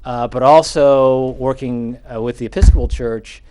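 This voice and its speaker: A middle-aged man speaking as a talk-show guest. His voice sits at an ordinary pitch, and he explains things at a measured pace with frequent "uh"s and restarts. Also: fairly even intonation, warm tone, clear, resonant tone. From Charleston, South Carolina. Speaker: guest, NAACP chapter president. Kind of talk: casual talk-show interview.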